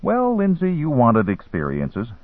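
A guarded American man traveling abroad, a storybook character, read by a male narrator. He is sardonic and dismissive, with a very mobile pitch. The recording is thin and radio-like. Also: huge pitch swings, a little slow, dry recording, narrow, tinny audio, heavily acted. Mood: sardonic, wary.

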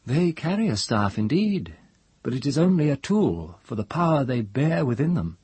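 Male reader as grave wise woman, storybook, high husky voice, solemn, incantatory, sweeping intonation. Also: sing-song, normal speed, darkish tone, no echo. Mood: solemn wonder. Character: grave, knowing woman storyteller, full of awe.

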